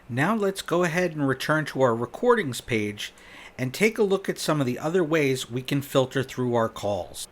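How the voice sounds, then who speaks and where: Male presenter for a product demo: conversational, instructional, medium pitch, guides step by step. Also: sing-song, normal speed. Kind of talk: instructional product walkthrough narration.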